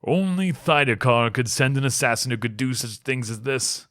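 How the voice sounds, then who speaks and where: Man playing proud fantasy king, suspicious, strained hushed intensity, dramatic audiobook delivery, dry sound. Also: medium pitch, expressive, moderate pace, no room sound, close to an everyday voice. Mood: strained, dying.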